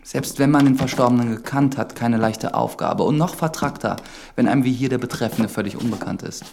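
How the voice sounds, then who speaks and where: A man's voice: medium pitch, brisk, gravelly, a little mellow, a little hiss or hum, natural voice. An actor playing an earnest, dutiful priest in a German radio drama, formal, pious and probing in his questions. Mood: polite, probing.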